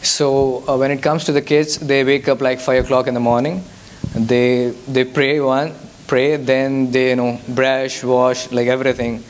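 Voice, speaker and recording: A man's voice: medium pitch, level tone, a little slow. Young Indian man, Telugu-accented English. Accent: Indian, Telugu-speaking. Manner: hesitant, many fillers and restarts. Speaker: guest speaker. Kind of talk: answering audience questions. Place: church youth service hall.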